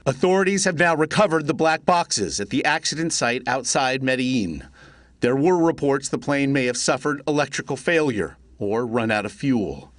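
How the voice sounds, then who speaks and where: A man narrating a scripted television news report in a measured, solemn way. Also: medium pitch, expressive, no echo, clean studio sound.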